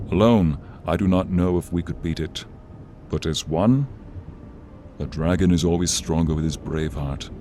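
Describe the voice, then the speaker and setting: Guy doing a big noble dragon, really deep voice, solemn and heroic fantasy asmr thing, thunder and rain going behind it. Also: a little mellow, lightly acted. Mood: solemn, earnest. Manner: solemn, grandiose, deliberate storytelling delivery. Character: noble friendly male dragon, earnest and brave, loyal companion. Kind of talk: fantasy ASMR roleplay, dramatic monologue to listener. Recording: clear storm noise behind the voice. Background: rain, thunder and rumbling storm ambience.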